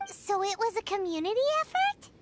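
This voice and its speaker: A woman voicing a small, bubbly, curious childlike fairy companion in a video game, squeaky and exclamatory. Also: big pitch swings, brisk, very noisy. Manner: speaks of self in third person, exclamatory. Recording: music behind the voice.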